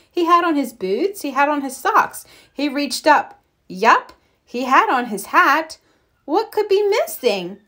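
A woman reading a children's picture book aloud expressively, deliberate and playful with the sound words. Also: sits high, a bit bright. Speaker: read-aloud storyteller.

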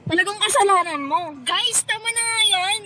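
A woman's voice: very high voice, normal speed, a loud crowd. A woman playing a blunt, dramatic teenage schoolgirl in an amateur student radio drama, given to exclaiming. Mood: argumentative.

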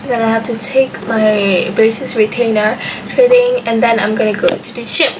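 Chatty woman vlogger, high voice. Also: steady tone, normal speed, noisy background. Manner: chatty, mixes English and Malay, giggly. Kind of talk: casual vlog chat. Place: bedroom at home. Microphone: handheld camera close up.

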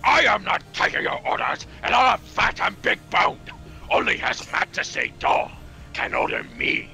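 A man voicing a loud, crude, big-boned prison warden villain in a game, gravelly and blustering. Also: high-pitched, lively intonation, measured pace, exaggerated voice. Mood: demanding, defiant. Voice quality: gravelly, harsh. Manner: blustering, defiant.

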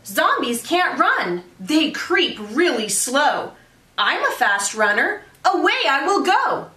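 A woman voicing an excitable, eager little boy in a children's storybook read-aloud. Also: high voice, takes it slowly, pitched above the performer's own voice, exaggerated voice. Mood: excited. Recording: echoey room, mic at a distance.

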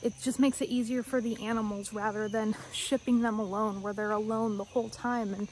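Young woman, higher-pitched voice, casual vlog chat, informal and excited, some background noise. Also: fairly quick, poor audio. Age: young adult. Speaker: vlogger. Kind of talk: casual chatty vlog talk to camera.

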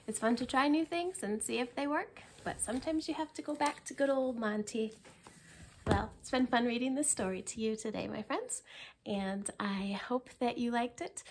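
A woman in her thirties giving a playful, expressive picture-book read-aloud for children, as a librarian at story time. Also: fairly high pitch, normal speed, a little hiss or hum. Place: library room in front of bookshelves, indoors.

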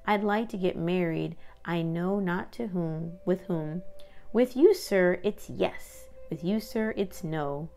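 Woman, children's read-aloud, gravelly creak, expressive sing-song delivery, informal asides, background music. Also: lowish voice, takes it slowly. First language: English.

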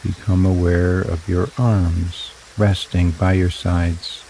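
A man guiding a relaxation meditation in slow, soothing, drawn-out phrases. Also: low-pitched, flat delivery, mellow, a little hiss or hum, rough recording. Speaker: meditation guide. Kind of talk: guided meditation narration.

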